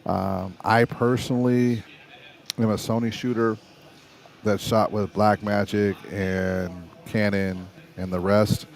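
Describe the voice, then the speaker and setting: A middle-aged man with a raspy voice, hosting a casual livestream about cameras in a loose, stop-start way. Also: on the low side, level tone, slow pace. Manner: conversational, stop-start, salesy about gear. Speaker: livestream host and videographer.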